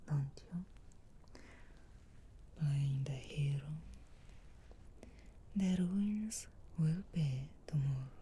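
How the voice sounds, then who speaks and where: Woman as a whispering, slow, teasing witch. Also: sits low, dark tone, slight background noise. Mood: wry, teasing. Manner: slow, intimate, measured delivery with long pauses. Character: sharp-tongued, teasing young witch tending a wounded comrade. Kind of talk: soft-spoken whispered ASMR roleplay.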